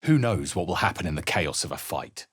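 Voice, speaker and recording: A man voicing a guarded, wry adventurer in a video game, with a husky voice and terse, dramatic delivery. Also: low voice, big pitch swings, brisk, a bit bright, no echo, clean studio sound, full character voice. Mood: wary, wry. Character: guarded adventurer hero, wry, suspicious, casts Latin spells. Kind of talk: video game character voice lines.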